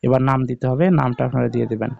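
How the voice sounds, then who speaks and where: A man's voice: medium pitch, flat delivery, rapid-fire, vocal fry, mellow, highs cut off. A man hosting an informal step-by-step app tutorial, speaking directly to viewers and checking in with them often. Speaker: tutorial video host.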